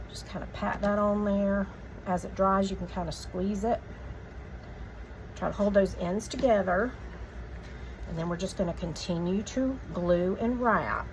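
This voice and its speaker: Slightly croaky woman teaching a craft. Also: medium pitch, a little hiss or hum, poor audio. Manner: relaxed, chatty instructions with fillers. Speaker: craft tutorial host. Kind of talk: step-by-step craft demonstration.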